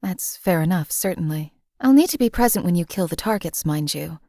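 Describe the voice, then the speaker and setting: A woman's voice: fairly low pitch, expressive, brisk, mellow, dry recording, clean studio sound. A woman voicing a cold, contemptuous hired assassin in a game, speaking in a formal, clipped, disdainful way. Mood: businesslike. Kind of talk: game character voice lines.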